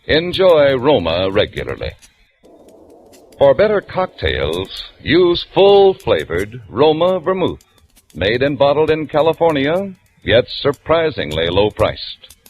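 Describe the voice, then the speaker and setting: A male radio announcer with a smooth, persuasive sales delivery whose pitch rises and falls dramatically. The sound is dull and muffled like an old broadcast, with soft music underneath. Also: wildly swooping pitch, slow. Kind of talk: radio commercial reading.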